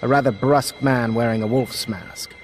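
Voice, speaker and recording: An actor playing a suave, flippant aristocrat in a video game, with a wry, genteel, languid manner. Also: male voice, mid-range voice, fairly even intonation, moderate pace, over music, close to an everyday voice. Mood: wry, casual. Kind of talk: dramatic game cutscene dialogue. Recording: clearly noisy, ambient game sound.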